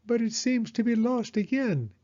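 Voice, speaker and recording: A man's voice: very high voice, lively intonation, normal speed, mellow, lo-fi sound, voice pushed up. A man voicing a fussy, alarmist male owl in a children's storybook, with a dramatic, exclaiming manner. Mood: evasive.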